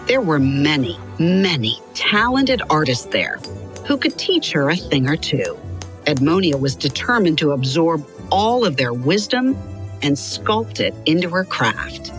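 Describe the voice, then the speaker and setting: A woman narrating a scripted children's biography in an expressive, clearly articulated storytelling style. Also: low voice, a little slow, faint music behind.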